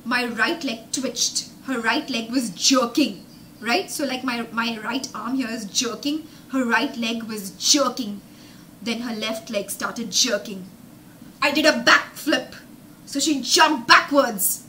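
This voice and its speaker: A young woman telling a story as a children's teacher, dramatic and emphatic, pausing to explain words with synonyms. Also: fairly high pitch, bright, forceful tone, slight background noise. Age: young adult. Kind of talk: animated storytelling lesson with explanations. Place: indoor room with glass-fronted bookshelves.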